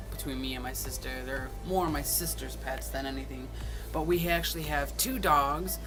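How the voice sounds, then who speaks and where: A young man in his twenties chatting casually to camera, reading questions aloud and answering them, recorded on a phone. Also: fairly high pitch. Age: 20s. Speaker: vlogger.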